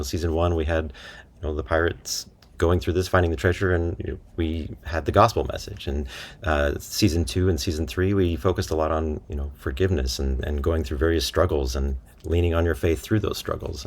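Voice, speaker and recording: A man speaking as an interview guest in a casual, halting, amiable and self-effacing way. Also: very deep voice. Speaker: interview guest, audio drama creator and voice actor.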